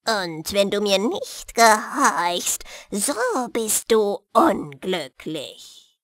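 A woman voicing a scheming, menacing old fairy-tale witch who commands in a drawn-out, threatening way. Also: mid-range voice, expressive, a bit bright, no room sound, studio quality. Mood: angry, threatening. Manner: slow, drawn-out, threatening delivery.

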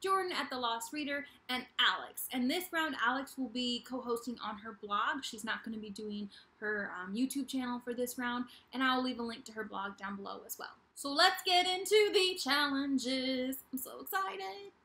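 A young woman hosting a casual vlog to camera, talking in a bubbly, playful, animated way in a small room. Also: high voice, moderate pace, hardly any pauses. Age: young adult. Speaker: YouTube host of a book channel. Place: small bedroom indoors. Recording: echoey untreated room.

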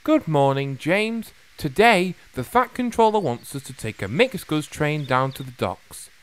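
A man's voice: on the high side, very animated, measured pace, smooth. A man playing a practical, slyly persuasive engine driver in a children's story, matter-of-fact and a little teasing.

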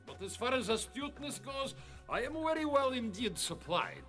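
High-pitched pompous cartoon villain. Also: male voice, big pitch swings, normal speed, a bit bright, soft background music, heavily acted. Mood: vengeful, boastful. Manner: broken foreign-sounding English, pompous. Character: pompous villainous male tycoon, vengeful and boastful. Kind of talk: animated villain acting.

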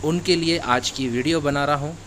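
A man's voice: a little high, steady tone, moderate pace, fairly bright tone, slight background noise. Man guiding viewers through his goat farm. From Hyderabad, India. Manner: friendly instructional talk with religious greetings. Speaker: goat farmer and channel host. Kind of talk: casual how-to vlog narration. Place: indoor goat shed, off camera.

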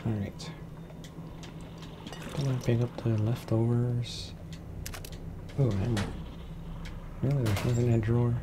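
A man's voice: medium pitch, steady tone, a little slow, long pauses. A man with a slightly froggy voice giving casual, laid-back video game commentary, muttering to himself as he plays in his room at home. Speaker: gameplay streamer. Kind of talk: casual let's play commentary. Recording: clearly noisy home recording.

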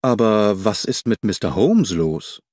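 Man as officious inspector, audiobook, brisk, formal, assertive, varied pitch, dry studio sound. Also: lively intonation, darkish tone, dry recording. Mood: officious, assured. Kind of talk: audiobook character reading.

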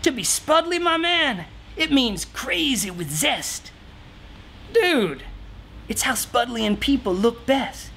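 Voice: mid-range voice, sing-song, slow, creaky, fairly bright tone, a little hiss or hum, pitched above the performer's own voice, heavily acted. A man voicing a laid-back, proud hippie shaman from a storybook, drawling and slangy. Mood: groovy, boastful.

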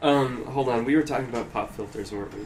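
A man's voice: medium pitch, fast, crowd noise. A teenage boy with a cold and a stuffy nose, co-hosting a casual podcast on a shared desk mic. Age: teens. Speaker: podcast co-host. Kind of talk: casual chat. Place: school room. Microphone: shared desk microphone. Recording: noisy amateur podcast recording.

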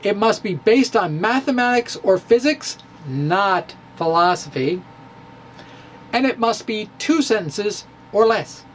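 Middle-aged guy reading his physics blog out loud, slow and theatrical, wry little asides, small room with a faint hiss. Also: high-pitched, animated. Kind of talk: dramatic reading of a blog post. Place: small room in front of bookshelves. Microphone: studio mic on stand in front of him.

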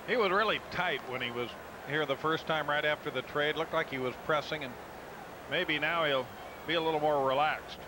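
A man supplies color commentary on a baseball broadcast, his voice a touch high. He drops in brief, easygoing analysis while a clear stadium crowd murmurs behind. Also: normal speed. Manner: relaxed, offers short analysis. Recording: broadcast booth over loud crowd noise. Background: stadium crowd ambience.

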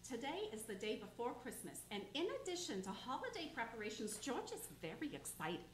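A woman narrating a radio play as a heavenly figure, hushed at sad moments. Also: on the high side, big pitch swings, fairly quick, slight background noise. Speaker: narrating angel. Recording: roomy, with loud music under.

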